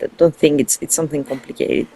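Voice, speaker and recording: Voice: mid-range voice, fast, vocal fry, music in the background, rough recording. A gaming video host giving casual, rambling, informal commentary on a playthrough, thinking aloud.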